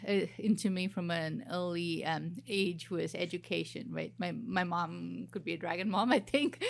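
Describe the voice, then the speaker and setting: A woman's voice: on the low side, creaky. Earnest woman from southern India. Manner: earnest, with frequent fillers. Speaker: CFO panelist. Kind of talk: panel introduction. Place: conference stage.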